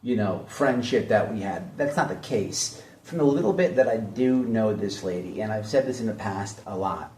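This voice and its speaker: A man's voice: fairly low pitch, expressive, moderate pace, hardly any pauses, darkish tone. A man giving opinionated drama commentary in a blunt, mocking way, hammering points home with repetition. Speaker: online commentary host. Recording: echoey room, distant mic.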